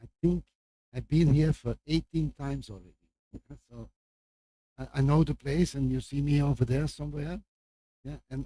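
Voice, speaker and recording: An older man from Belgium, a native Flemish speaker with a Flemish accent, gives an informal lecture introduction as a visiting professor in a halting way, with repeated words and asides. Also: fairly high pitch, mellow, poor audio. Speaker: guest lecturer, medical professor.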